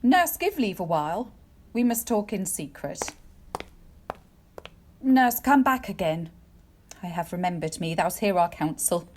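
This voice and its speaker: A woman playing a formal, commanding noble mother in a Shakespearean audio drama, with a brisk, directive manner. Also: on the low side, big pitch swings, darkish tone, a little hiss or hum.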